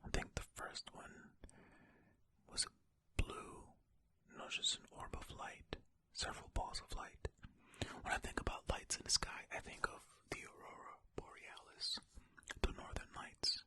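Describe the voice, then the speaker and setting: An ASMR host reading a story in a soft, breathy, unvoiced whisper, close to the mic, with conversational, musing asides. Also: a little slow. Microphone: close mic, ear to ear.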